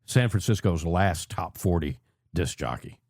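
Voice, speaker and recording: An older man hosting a radio interview show in a conversational, folksy way, with halting asides as he works toward his questions. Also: low voice, lively intonation, a little mellow, dry recording, studio quality.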